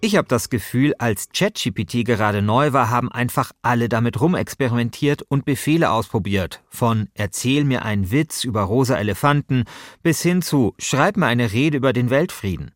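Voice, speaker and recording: A man's voice: mid-range voice, expressive, moderate pace, no echo, studio quality. A man, narrating a podcast from a script as its host.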